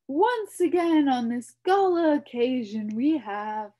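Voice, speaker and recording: A gloomy old king barks royal commands in a loud, regal voice, as a woman reading a storybook puts him on. The delivery is slow and sweeping, rising and falling dramatically. It keeps a mellow, rounded tone. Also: high voice, expressive, voice pushed up, full character voice.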